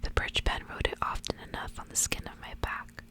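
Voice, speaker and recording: Whispering woman reading a story for ASMR. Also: fairly quick. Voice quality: breathy, unvoiced whisper. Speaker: ASMR creator reading aloud from a book.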